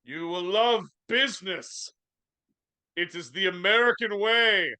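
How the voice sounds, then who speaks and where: A man's voice: sits high, slow pace, bright, forceful tone, smooth, dry recording, heavily acted. A man reading the part of a hearty, declamatory older-style boss in a live script reading. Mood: pompous. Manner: declamatory, grandly addressing listeners. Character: hearty male headmaster and festive employer, declaiming. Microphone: video call.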